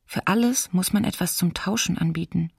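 An actress playing a young student in wartime, reading her diary plainly and quietly subdued in a documentary radio play. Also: on the low side, normal speed, gravelly, husky, no room sound. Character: young female student diarist enduring wartime hunger.

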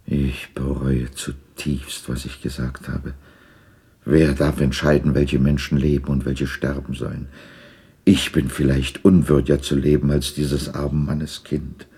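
An actor playing an old miser in a radio play, shaken and timid, with hesitant, earnest speech. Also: male voice, rumbling low, warm tone, breathy edge, exaggerated voice. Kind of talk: 1960s German radio play acting.

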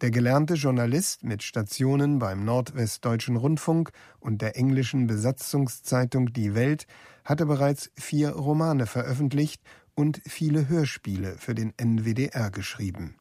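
Mellow male documentary narrator, dry studio. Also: mid-range voice, measured pace, no room sound. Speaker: narrator of a radio feature.

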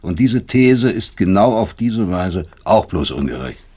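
Radio drama: embittered male doctor, low and rough-edged, deliberate, rhetorical, sardonic. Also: big pitch swings, warm tone, full character voice. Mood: bitter, reflective. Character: bitter, brooding East German male doctor and professor. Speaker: actor. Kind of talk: German radio drama acting, dialogue and inner monologue.

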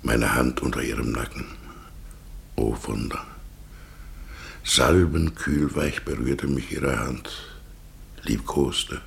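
A middle-aged man brooding over love and memory in a literary radio play. His voice is extremely low and heavily creaky. He murmurs sensually, very slowly, with halting phrases. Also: fairly even intonation, fairly bright tone, heavily acted. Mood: rapt, sensual. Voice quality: very deep, gravelly. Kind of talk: literary radio drama monologue.

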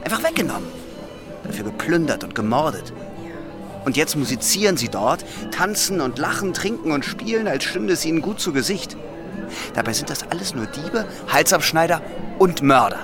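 A man's voice: on the high side, sing-song, moderate pace, over music. An actor playing a cautious, anxious young man in a German radio play, speaking in urgent, hesitant warnings. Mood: disapproving. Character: cautious, anxious young man, loyal companion to a reckless girl.

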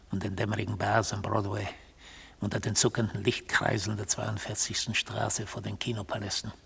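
An actor playing a brooding, defiant defendant who tells a fateful story in a radio play, hoarse and deliberate, with poetic insistence. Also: male voice, fairly low pitch, exaggerated voice. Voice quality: hoarse, gravelly. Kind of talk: literary German radio play, monologue narration.

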